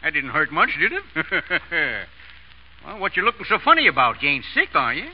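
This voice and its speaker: An actor playing a hearty, folksy American Western husband in a radio comedy, informal and boisterous. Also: male voice, a little high, sing-song, moderate pace, husky. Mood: insistent, friendly. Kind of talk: 1930s-40s radio comedy acting. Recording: dull vintage radio broadcast.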